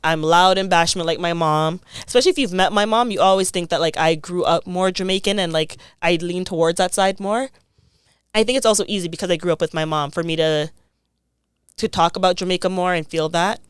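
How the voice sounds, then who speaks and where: A young woman in her twenties with a low, very smooth and clear voice. She talks as a guest in a reflective, casual interview, thinking aloud and filling pauses with "like." The sound is dry and close. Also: no room sound. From Toronto, Canada. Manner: thoughtful, frequent "like" fillers.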